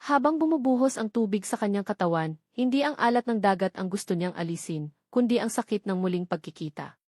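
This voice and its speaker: A woman's voice: mid-range voice, animated, brisk, a little mellow, no room sound, clean studio sound. A woman with a Filipino accent reads a scripted romance story as a dramatic audiobook narration.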